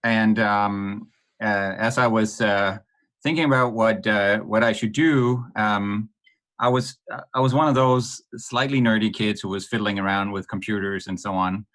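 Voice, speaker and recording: Middle-aged Danish man, low voice, thoughtful and halting guest on a podcast. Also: a bit bright. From Denmark. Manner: hesitant, many ums and restarts, laughs lightly. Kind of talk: podcast interview.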